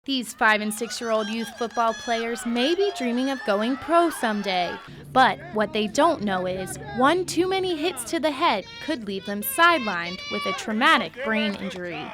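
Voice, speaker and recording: A woman in her twenties reading a scripted news report as a student reporter, with clear background noise. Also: on the high side. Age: 20s.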